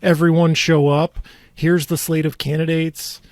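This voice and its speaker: A man's voice: fairly high pitch, little pitch movement, unhurried, no room sound, clean studio sound. An American man talking casually as a talk show host about local politics, with a wry, sardonic manner.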